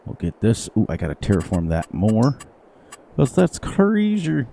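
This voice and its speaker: A man streaming a farming game live, chatting casually with viewers, his voice a little hoarse. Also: fairly low pitch, wildly swooping pitch, unhurried, mellow, slight background noise. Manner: rambling, playful, sing-song repetitions. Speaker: gaming livestreamer. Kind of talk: casual live gameplay commentary.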